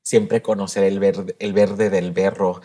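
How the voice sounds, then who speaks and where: Lower-voiced man, heartfelt and reflective. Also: little pitch movement, normal speed, mellow. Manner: heartfelt, reflective, mixes in Spanish phrases. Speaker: podcast co-host. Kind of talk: casual chat.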